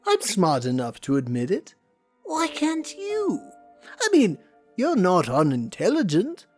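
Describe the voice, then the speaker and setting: A crazed clown supervillain, slow n halting, pitch all over, faint music behind. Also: male voice, huge pitch swings, a little mellow, exaggerated voice. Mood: manic confession. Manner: theatrical, unhinged, halting. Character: deranged, taunting male comic-book clown villain. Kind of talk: dramatic cartoon villain acting.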